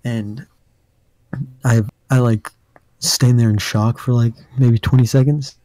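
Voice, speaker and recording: A young man with a deep voice telling a rambling story on a casual podcast, full of fillers. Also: steady tone, takes it slowly, warm tone, no echo, poor audio. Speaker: podcast host.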